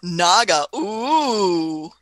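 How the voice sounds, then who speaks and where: A woman's voice: fairly low pitch, big pitch swings, very slow, no echo, studio quality, exaggerated voice. A woman playing an elderly, inquisitive, fussy Doctor in a radio play, hemming and questioning as the character goes. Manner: hemming, questioning, punctuates with hmm and uh.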